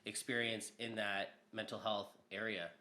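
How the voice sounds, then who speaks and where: Podcast host with a bright, halting voice. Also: male voice, on the low side, level tone. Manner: halting, rephrases his questions. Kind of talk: interview questions.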